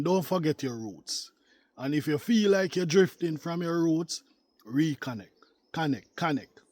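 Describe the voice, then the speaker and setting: A man with a Jamaican accent talking reflectively to viewers as a gardening vlog host, in a measured, philosophical manner that repeats phrases for emphasis. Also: a little high, darkish tone, no echo. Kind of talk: reflective, unscripted talk to viewers.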